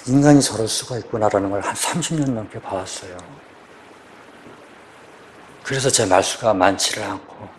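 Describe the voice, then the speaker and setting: Casting man, 50s, veteran police officer, low voice, quiet halting testimony before a congregation, faint background hiss. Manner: quiet, halting, searching for words. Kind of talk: personal church testimony asking for prayer.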